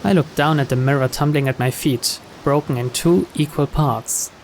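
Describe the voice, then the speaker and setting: A man's voice: on the high side, normal speed, rough recording. Man reading a story over background noise. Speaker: video game player reading the story aloud. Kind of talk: dramatic narration of a visual novel read aloud.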